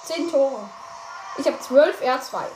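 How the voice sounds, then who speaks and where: A woman's voice: high-pitched, animated, slow pace, a little mellow, faint music behind. A young woman giving casual, playful commentary while she plays a game, often tripping over her words. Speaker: gameplay commentator. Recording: echoey room with noise.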